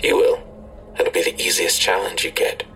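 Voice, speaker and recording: An actor in an audio drama playing a menacing male tormentor who gives cold, terse commands in a husky, often whispery voice. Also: low-pitched, soft background music, heavily acted. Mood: quietly threatening. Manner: slow, terse, calmly controlling. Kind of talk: full-cast audio drama acting.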